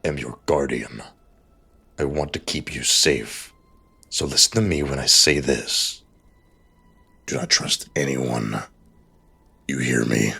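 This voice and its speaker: A man voicing a gruff, battle-weary, protective mentor in an audiobook, with a growling, blunt delivery and a gravelly rasp. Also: very deep voice, slow, pauses often, bright, forceful tone, soft background music, pitched below the performer's own voice, exaggerated voice. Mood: fierce, protective. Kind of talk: audiobook character dialogue.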